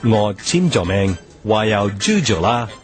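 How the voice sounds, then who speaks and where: An actor playing a powerful, measured media tycoon in a Cantonese radio drama, speaking in halting, deliberate phrases. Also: male voice, on the low side, big pitch swings, soft background music. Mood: measured, assured.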